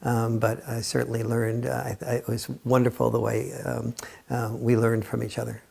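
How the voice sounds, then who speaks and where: A man talking reflectively in an interview, halting often as he searches for his words. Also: medium pitch, completely flat delivery, vocal fry, darkish tone. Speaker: interview guest. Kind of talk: casual reflective interview talk.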